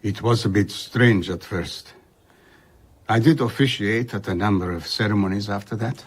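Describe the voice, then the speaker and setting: An actor playing an older man, a husky-voiced traveller reflectively telling of his youth in a radio drama. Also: fairly low pitch, moderate pace. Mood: wistful. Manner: reflective storytelling.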